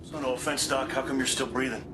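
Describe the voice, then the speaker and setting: A man's voice: mid-range voice, fairly even intonation, quick pace, noisy background, close to an everyday voice. An actor playing a blunt, cynical male war veteran in a game drama, terse in manner. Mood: grim. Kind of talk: film drama acting.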